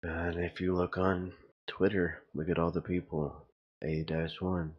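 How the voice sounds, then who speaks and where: A man with a very deep voice talks slowly through a casual, rambling commentary. He sounds puzzled and insistent as he makes his point. The recording is slightly dull and lo-fi. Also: level tone, husky. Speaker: video host.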